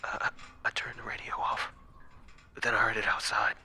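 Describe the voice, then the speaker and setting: A man playing an exhausted, frightened night watchman recording halting horror-story log entries, dramatic and sometimes breaking into whispers. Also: fairly low pitch, level tone, natural voice. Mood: hushed, afraid. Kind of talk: first-person horror audio log narration.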